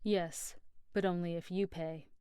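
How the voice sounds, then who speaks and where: A woman's voice: fairly low pitch, steady tone, unhurried, warm tone, clean tone, dry recording, lightly acted. A woman plays a young female henchwoman in a crime audio drama. She is serious and guarded, with terse delivery. The sound is dry and close.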